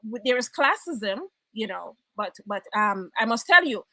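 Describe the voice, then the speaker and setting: A Jamaican woman hosts a casual live broadcast and keeps the chat lively, laughing easily and calling out to viewers. Her voice is bright and sits a little high. The sound is dry and close. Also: normal speed, no echo, poor audio. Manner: lively, laughs often, reads out viewer comments.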